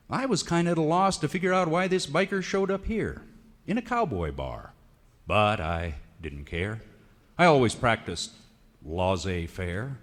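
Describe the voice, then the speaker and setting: A man with an American West accent recites comic narrative cowboy poetry in a folksy, theatrical drawl with drawn-out emphasis. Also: mid-range voice. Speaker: cowboy poet. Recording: reverberant hall recording of a radio show.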